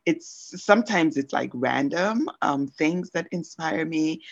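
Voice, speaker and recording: A woman's voice: sits low, animated, slow, vocal fry. Congo-born woman founder as podcast guest, loose and chatty, muffled lo-fi sound. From Democratic Republic of Congo. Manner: chatty, many fillers like um and you know. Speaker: fashion brand founder, guest. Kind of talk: casual interview answers. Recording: dull lo-fi audio.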